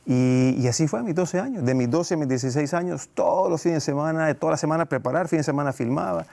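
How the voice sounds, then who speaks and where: A man, giving a conversational interview in a halting way, repeating phrases, on a lapel mic in a studio. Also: fairly high pitch, vocal fry, a little mellow. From El Salvador. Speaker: guest, actor and film producer. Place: TV studio set.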